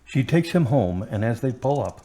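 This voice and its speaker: A man's voice: medium pitch, expressive, normal speed, warm tone. A man narrating an audio drama in a scripted style, reading stage action and credits. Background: guitar music.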